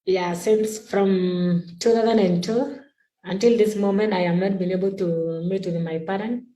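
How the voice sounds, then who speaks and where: A woman's voice: medium pitch, steady tone, normal speed, warm tone. A young woman from South Sudan answering interview questions in plain, simple phrasing. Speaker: athlete guest. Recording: echoey room.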